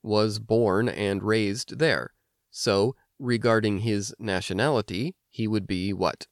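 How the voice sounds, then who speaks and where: An American man hosting an instructional language podcast, explaining things clearly like a teacher. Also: on the low side, steady tone, takes it slowly, no room sound, clean studio sound. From United States. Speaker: language lesson host and teacher. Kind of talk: instructional podcast narration with personal anecdotes.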